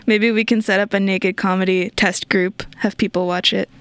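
A woman hosts a relaxed radio interview and keeps breaking into giggles. Her voice is smooth and resonant, recorded dry and close with no room around it. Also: mid-range voice, moderate pace, dry recording. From not from the country of the show. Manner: giggly, laughs often. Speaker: radio show host. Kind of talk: casual interview.

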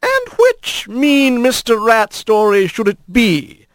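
A man voicing a gentle, proper old grandmother opossum in a storybook reading, prim and measured. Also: very high voice, huge pitch swings, voice pushed up, full character voice. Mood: fond.

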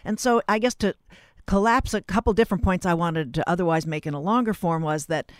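A woman hosting a radio interview in a conversational, halting, roundabout way. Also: lowish voice, dry recording, clean studio sound.

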